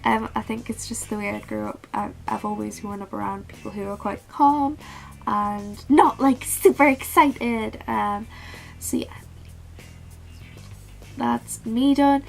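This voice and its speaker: A teenage girl talking casually about books in a vlog, with a calm, flat-toned and slightly awkward way of speaking. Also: on the high side, measured pace, creaky, soft background music. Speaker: book vlogger. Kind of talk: casual book tag vlog.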